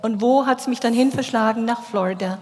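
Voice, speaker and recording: A woman's voice: fairly high pitch, fairly quick, darkish tone, faint music behind. Woman interpreter in a reverberant hall. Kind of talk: consecutive interpreting into German. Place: large hall.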